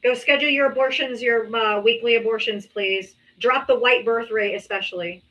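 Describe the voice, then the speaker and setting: A woman's voice: a little high, steady tone, moderate pace, sharp tone, highs cut off. A woman hosting a live political debate stream, blunt and scolding, swearing freely at callers.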